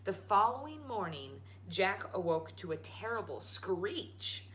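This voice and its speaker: A woman's voice: medium pitch, very animated, unhurried, a bit bright. A woman reading a children's story aloud as a storytime host. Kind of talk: animated children's read-aloud.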